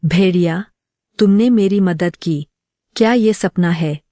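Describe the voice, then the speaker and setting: A woman's voice: fairly low pitch, takes it slowly, warm tone, dry recording, clean studio sound. A woman voicing a timid, anxious jungle animal in a cartoon moral story. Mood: amazed.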